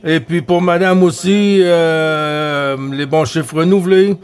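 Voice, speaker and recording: A man with a Quebec French accent and a voice a bit higher than average. He talks as a CB radio operator in an easygoing, conversational way. His delivery is halting, with phrases often said twice. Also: fairly even intonation, smooth. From Quebec, Canada. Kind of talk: casual radio chat with greetings.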